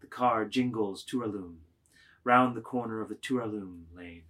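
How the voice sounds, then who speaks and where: A man narrating a literary work, reading stage directions aloud with measured, deliberate phrasing. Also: fairly low pitch, a bit bright.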